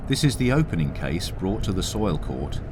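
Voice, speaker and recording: A man giving a scripted, informative narration in a flat delivery. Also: deep voice, normal speed. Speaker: narrator and court clerk. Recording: noisy background.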